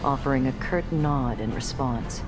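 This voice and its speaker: Very deep-voiced heroine, sardonic, blunt, dry game narration, loud music behind. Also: female voice, normal speed. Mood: observant, measured. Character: wry, blunt female protagonist narrating her own story. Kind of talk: first-person scripted game narration.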